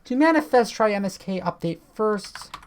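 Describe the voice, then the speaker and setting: A woman with a tired voice, chatting casually and swearing now and then as she reads on-screen text and walks through software at her computer. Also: medium pitch, lively intonation, normal speed, slight background noise. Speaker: tech video creator.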